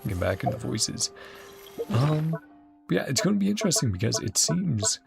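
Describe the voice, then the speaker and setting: A man's voice: mid-range voice, sing-song, moderate pace, creaky, soft background music. A man rambling casually as he streams a game and reacts to it. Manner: rambling, chatty.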